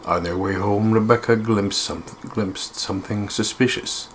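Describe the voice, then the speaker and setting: A man co-hosting a let's play, reading a game's journal entry aloud in a plain, measured way. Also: on the low side, steady tone, slight background noise.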